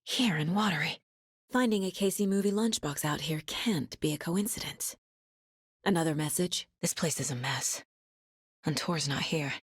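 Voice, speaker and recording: A serious, focused female federal agent in a video game, with a lowish voice and a very dry sound. She talks in a matter-of-fact, naturalistic way, like someone working through a case. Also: normal speed, breathy edge, dry recording. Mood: focused, brooding. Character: determined, focused female FBI agent and worried mother. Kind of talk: naturalistic game voice acting, inner monologue and dialogue.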